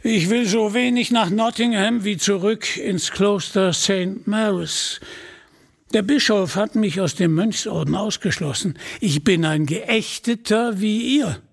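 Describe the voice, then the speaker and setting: A male narrator plays a gluttonous outlawed friar in a storybook tale. He speaks frankly and with a grumble, in a fairly high voice for a man. His hearty, honest words come slowly. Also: gravelly, exaggerated voice. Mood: gruff, frank.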